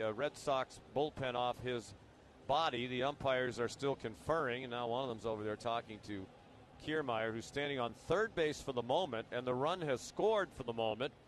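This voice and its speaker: A man giving live commentary on a baseball game as a radio broadcaster. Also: mid-range voice. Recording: live ballpark broadcast, faint noise.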